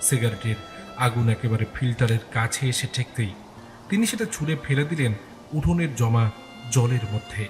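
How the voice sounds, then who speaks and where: A man giving dramatic scripted first-person narration for an audio story, in deliberate, suspenseful phrases. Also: medium pitch, over music.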